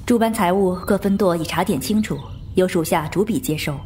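A woman's voice: lowish voice, brisk, a little mellow, a little hiss or hum, lightly acted. A woman playing a composed, respectful subordinate of a martial-arts sect in a formal Chinese wuxia audio drama.

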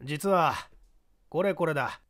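A man voicing a gruff, brusque, blustering male film studio boss in an audiobook. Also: on the high side, slow, a bit bright. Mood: brisk.

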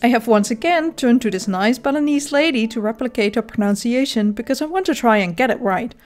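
A woman's voice: on the high side, animated, a little fast, faint music behind. A woman with a Dutch accent, a native Dutch speaker, narrating a scripted game guide with dry, self-mocking humor.

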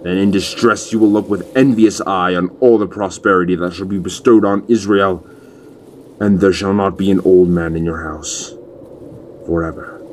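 A man's voice: on the low side, slight background noise, natural voice. A man playing a stern prophet delivering divine judgment in a solemn, declamatory way in a Bible audio drama.

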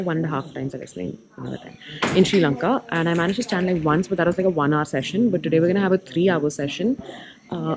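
A woman's voice: sits low, a little mellow, a little hiss or hum, low-quality recording. Bubbly young woman vlogging outdoors. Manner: bubbly, chatty, lots of "like". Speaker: travel vlogger. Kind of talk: casual travel vlog talk. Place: outdoors at a tropical seaside surf club. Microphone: handheld camera at arm's length.

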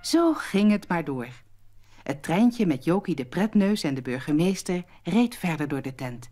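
A woman's voice: lowish voice, very animated, measured pace, dark tone, soft background music. A woman narrating a children's story from a script as its storyteller.

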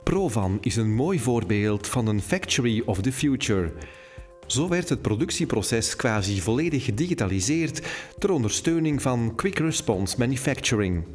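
A man reading a scripted corporate voice-over in a clear, promotional way. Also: mid-range voice, lively intonation, normal speed, soft background music. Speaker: narrator.

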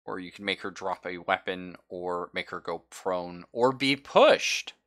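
A man with a fairly low, forceful, bright voice runs a tabletop roleplaying game. He talks casually and jokily, walking players through options and outcomes in informal language. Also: measured pace. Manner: informal, jokey, swears. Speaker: game master. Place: online game session over voice chat.

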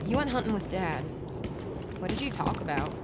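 An actress playing a brash but caring young Nazi-hunting sister in a video game. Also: female voice, medium pitch, sing-song, normal speed, heavy background noise, natural voice. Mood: earnest. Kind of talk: video game character dialogue.

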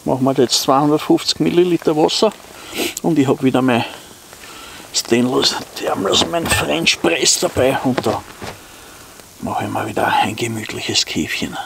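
Man, Austrian accent, mid-pitched voice, easygoing rambling vlog chat, outdoors, faint background noise. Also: moderate pace, vocal fry, fairly bright tone. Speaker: outdoor vlogger. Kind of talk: casual unscripted vlog chat to viewers. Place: outdoors in snowy woods.

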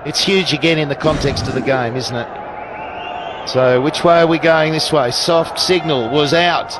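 Excitable high-voiced male cricket commentator. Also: normal speed, background noise. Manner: excitable, shouts at big moments, explains rules. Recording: broadcast commentary over loud crowd.

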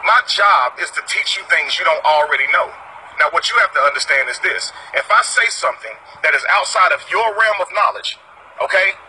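A man's voice: high voice, pushed and bright, slight background noise, low-quality recording. A man in his thirties delivering an insistent, didactic monologue in short emphatic phrases, on a phone video made in a car. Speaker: self-described teacher, former pastor. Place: inside a car.

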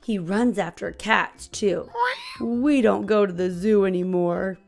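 A woman's voice: mid-range voice, slow pace, soft background music. An American woman in her thirties hosting a children's read-aloud, telling a storybook expressively to young kids. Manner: expressive, clearly paced storytelling for small children.

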